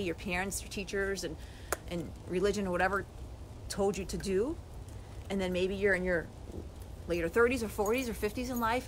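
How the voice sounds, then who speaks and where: A woman in her forties giving an earnest, animated motivational talk to a phone camera on a lawn outdoors. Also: mid-range voice, a little hiss or hum, low-quality recording. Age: 40s. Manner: earnest, animated, gestures with both hands. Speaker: life coach vlogger. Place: outdoors on a lawn by bare woods. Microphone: phone camera at arm's length.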